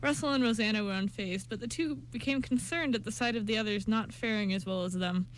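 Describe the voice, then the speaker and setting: A woman narrates an amateur radio play from a script. Her voice is fairly high, and she stumbles slightly as she reads. Faint noise runs beneath the recording. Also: fairly quick, low-quality recording.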